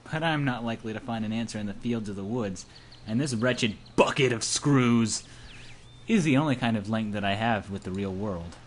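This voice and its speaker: A man's voice: on the low side, moderate pace. A man reading visual novel narration aloud and chatting casually in between, on an amateur recording. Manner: stumbles over words while reading. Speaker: amateur voice-over player in a group. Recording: noisy amateur home recording.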